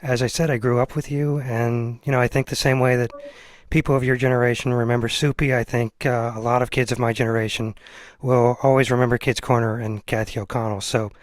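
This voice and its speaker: American male interviewer, medium pitch, unassuming and a little hesitant, casual chat, dry recording. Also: little pitch movement, fairly quick, no room sound. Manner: hesitant, self-deprecating. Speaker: show host, interviewer.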